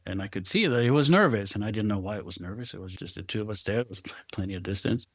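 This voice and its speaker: Middle-aged man from Israel, low voice, dry close sound, reflective storyteller who laughs easily. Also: expressive, rapid-fire, dry recording. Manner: thoughtful, laughs often while telling stories. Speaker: guest photographer. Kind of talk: reflective conversational interview.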